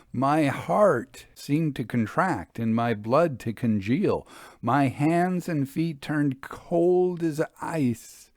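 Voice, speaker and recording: A man reading an old-fashioned ghost story in a deliberate, theatrical, suspenseful way, with dramatic pauses. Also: medium pitch, a little mellow, no echo, clean studio sound. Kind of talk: dramatic audiobook narration of a Victorian ghost story.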